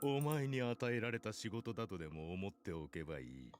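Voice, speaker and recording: A man's voice: fairly low pitch, big pitch swings, rapid-fire. An actor playing an aloof man who gives orders in a Japanese visual novel, with music behind him. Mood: aloof, commanding. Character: commanding, aloof man giving orders to his underling.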